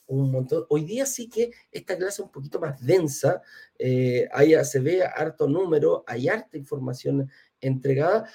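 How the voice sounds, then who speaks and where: A man's voice: on the high side, creaky, warm tone, breathy edge. A man with a Chilean accent hosting a live class and interview in an informal, chatty way. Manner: chatty, informal, uses affectionate diminutives. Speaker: host of a live real estate investment class.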